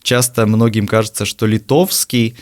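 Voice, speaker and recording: A man in his twenties from Riga, a native Latvian speaker, talks as a guest on an interview show. His voice sits low. He answers thoughtfully and pauses often to find his words. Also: fairly even intonation. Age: 20s. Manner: thoughtful, hesitant, with frequent filler pauses. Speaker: guest, university lecturer.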